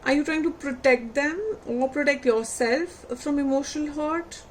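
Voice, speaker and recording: A woman reading tarot cards for her viewers, unhurried and reflective, putting gentle questions to them and losing her voice. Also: sits high, a little hiss or hum. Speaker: tarot reader.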